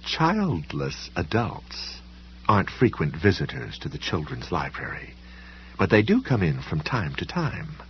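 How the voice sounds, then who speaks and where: Audiobook role: man playing a smooth, dismissive woman librarian, courteous with menace underneath, swooping intonation, faint hum behind. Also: low voice, wildly swooping pitch, a little slow, lo-fi sound, exaggerated voice. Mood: coolly condescending. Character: polite, condescending, quietly menacing woman librarian.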